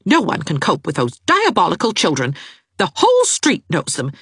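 Haughty outraged mother, shrill and imperious, a woman narrator putting her on. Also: wildly swooping pitch, moderate pace, fairly bright tone, husky, no room sound, clean studio sound, exaggerated voice. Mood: indignant. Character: indignant haughty mother, middle-aged woman.

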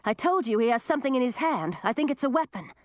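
A woman's voice: on the high side, animated, fast talker, a little mellow, no echo, clean studio sound, voice pushed up, close to an everyday voice. A woman voicing a shrewd young princess in a storybook, polite but firm, bold and wary. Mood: urgent. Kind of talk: storybook character voice.

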